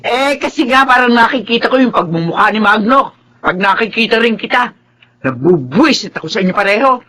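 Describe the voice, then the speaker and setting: A performer playing a bitter, neglected first wife in a radio drama, scornful and dismissive. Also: male voice, high voice, animated, brisk, bright, forceful tone, full character voice.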